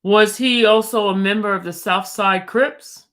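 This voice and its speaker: A woman reading a male prosecutor's questions aloud in a formal, measured manner. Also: medium pitch, steady tone, natural voice.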